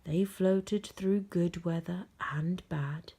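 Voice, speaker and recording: A woman storyteller reading a children's bedtime story aloud, gently and expressively, with dramatic pauses and hushed phrase endings. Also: on the low side, fairly even intonation, slightly rough.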